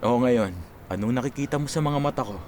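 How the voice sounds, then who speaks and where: A man's voice: medium pitch, fast, a little mellow, slight background noise, natural voice. A Filipino actor in a radio drama playing an earnest, playful young man in his twenties who is in love. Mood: playful, insistent. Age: 20s. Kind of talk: Tagalog radio drama acting. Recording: music behind the dialogue.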